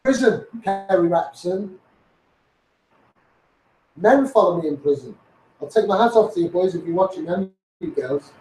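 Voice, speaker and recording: A man's voice: sits high, animated, normal speed, pauses often, darkish tone, reverberant, low-quality recording. A man with a Scouse accent giving a blunt, sweary, taunting rant to his online followers. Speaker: social media personality.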